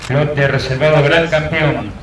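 A man announcing award winners at an outdoor cattle show, in brisk, declarative calls. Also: fairly high pitch, fairly even intonation, crowd noise. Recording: echoing distant PA with noise.

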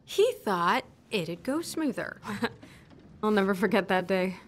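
A woman's voice: medium pitch, expressive, slow, slight background noise. A young woman playing a tough but self-doubting soldier in a video game, conversational and wry. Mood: reminiscing. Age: young adult. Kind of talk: video game cutscene acting.

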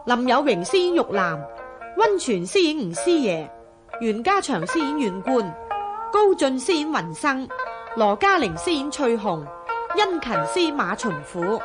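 A woman's voice: high voice, big pitch swings, unhurried. A woman announcer reading scripted cast credits for a radio programme. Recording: loud music behind radio announcement. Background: loud music.

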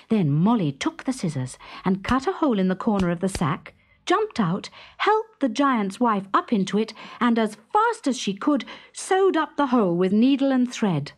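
Expressive, unhurried woman storyteller. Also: mid-range voice. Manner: suspenseful, expressive. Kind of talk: dramatic children's storybook narration.

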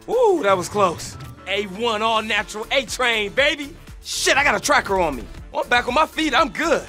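A man's voice: extremely high pitch, big pitch swings, normal speed, sharp tone, heavily acted. An American man playing a cocky, boastful young superhero soldier in video game voice lines, with slangy, swaggering, punchy delivery. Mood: cocky, hyped. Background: music.

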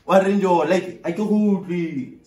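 A South African man in his twenties making a casual, slangy prank phone call, animated, exasperated and pleading, with swearing. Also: sits high, a little slow, a little hiss or hum. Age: 20s. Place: small bare bedroom indoors. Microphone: camera a short distance away. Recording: roomy echo, distant from mic.

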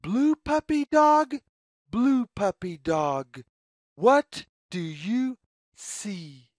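Slow, sing-song woman reading to children. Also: mid-range voice, expressive, darkish tone, dry recording, clean studio sound. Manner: deliberate, sing-song phrasing. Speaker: storyteller reading aloud to children. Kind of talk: read-aloud children's picture book, slow sing-song.

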